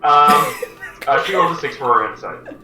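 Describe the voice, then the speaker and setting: A man's voice: fairly high pitch, expressive, normal speed, loud music behind the voice. A man running a tabletop game as game master, talking hesitantly with many fillers. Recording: echoey room, mic far off.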